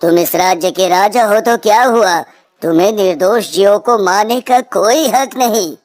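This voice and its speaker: A woman's voice: mid-range voice, normal speed, close to an everyday voice. A woman voicing a grave old hermit sage in a cartoon, speaking in a solemn, stately way. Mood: solemn, counselling. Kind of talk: cartoon character acting.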